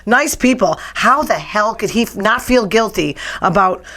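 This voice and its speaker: A woman's voice: mid-range voice, expressive, moderate pace, fairly bright tone. Chatty, blunt woman. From New England. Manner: chatty, blunt, sarcastic rants. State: strained, nearly lost voice. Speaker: podcast host. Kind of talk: casual talk show chat.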